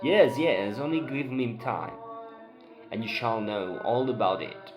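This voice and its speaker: A man playing an excitable, proud town doctor in an amateur dramatic play reading, with an emphatic, declamatory delivery. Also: mid-range voice, measured pace, lightly acted. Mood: triumphant, impatient. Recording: voice over prominent background music.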